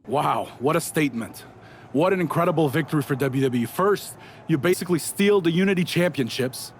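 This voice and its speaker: A somewhat high-voiced actor as a male wrestling villain gloats through a promo in a video game. He is smug and sarcastic, taunting his rival over clear background noise. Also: brisk, lightly acted. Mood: mock admiration. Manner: sarcastic, gloating, stuttering restarts for mockery.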